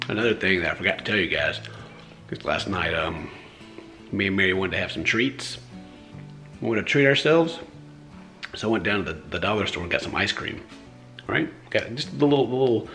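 A young man and father chatting casually about a rough night, rambling and self-deprecating, filmed on a handheld camera at arm's length at home. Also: a bit bright. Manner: rambling and self-deprecating, shifts into high baby talk. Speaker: vlogger, father. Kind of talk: casual family vlog chat. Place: home interior, bedroom and living room.

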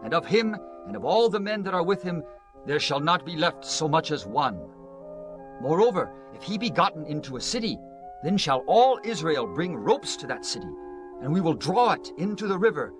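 An actor playing an earnest, persuasive counselor in ancient Israel, speaking in measured oratory in a dramatized scripture reading. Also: male voice, fairly high pitch, sing-song. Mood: solemn, persuasive. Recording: music playing behind the voice.

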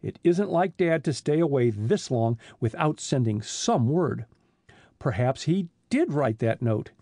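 A man's voice: mid-range voice, big pitch swings, measured pace, dark tone, no room sound, clean studio sound. A man voicing an eager, impatient teenage boy in a storybook reading. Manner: earnest, impatient.

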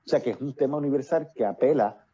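A man's voice: mid-range voice, fast talker, dark tone, slightly rough, lo-fi sound. A Puerto Rican man in his fifties speaking with a Puerto Rican accent, talking at length as a guest on a video call, with many fillers and restarts. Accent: Puerto Rican Spanish. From Puerto Rico. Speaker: playwright and actor, guest. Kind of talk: casual interview over video call. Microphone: earphones with built-in mic on a video call.

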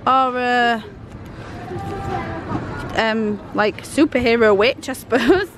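A woman, chatting casually to a handheld camera with a croaky voice from a cold, rambling with lots of ums. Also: a little high, slow pace, crowd noise, noisy background. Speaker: family vlogger. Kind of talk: casual vlog chat to camera. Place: home kitchen, then shops. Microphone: handheld camera at arm's length.

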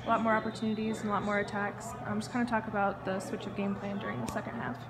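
A woman interviewer asking a coach quick, plainly worded post-game questions. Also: mid-range voice, flat delivery, a crowd in the background.

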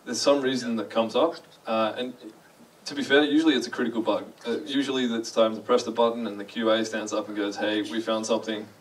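Young Australian guy on a stage, relaxed and self-mocking, a bit distant from the mic in a large hall. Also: on the low side, fairly quick. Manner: chatty, self-deprecating, asks the audience questions. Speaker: conference speaker. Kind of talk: casual conference talk. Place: large dark lecture hall.

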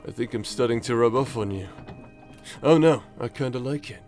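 A man's voice: mid-range voice, animated, measured pace, darkish tone, faint music behind, close to an everyday voice. A man playing a cocky, crude, flirtatious knight in an anime-style audio roleplay, with a brash, teasing manner. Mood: mischievous. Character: cocky, vulgar, flirtatious bastard knight paladin, younger brother.

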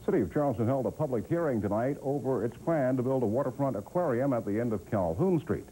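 A man's voice: mid-range voice, lively intonation, fast talker, mellow, a little hiss or hum, rough recording. A middle-aged man reading scripted news as a television anchor. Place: TV studio.

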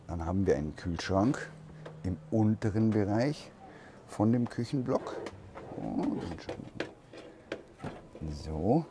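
A man giving a relaxed, unhurried walkaround tour of a camper van, thinking aloud as he goes, recorded inside the van at a trade fair hall. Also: sits low, noisy background.

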